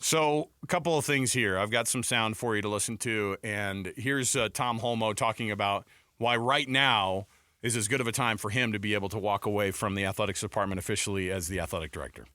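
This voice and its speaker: American guy hosting sports talk radio, bright punchy voice, bit halting, dry close studio mic. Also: on the low side, no room sound. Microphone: close studio broadcast mic.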